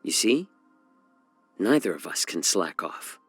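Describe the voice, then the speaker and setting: Game role: genial fox-man chef and physician, smooth, slightly low, wry and measured, dry close sound. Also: big pitch swings, no room sound, soft background music, full character voice. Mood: earnest. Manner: measured, wry, gently teasing. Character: genial, sly fox-man healer and chef, soft-spoken advisor. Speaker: video game character. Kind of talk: scripted game character voice lines.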